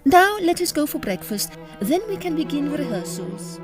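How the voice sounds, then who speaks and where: An actress playing a gracious, brisk leading soprano of a Victorian opera company, refined and formal, in an audio farce. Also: female voice, high voice, sing-song, music in the background. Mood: gracious, cheerful. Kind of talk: period farce audio drama acting.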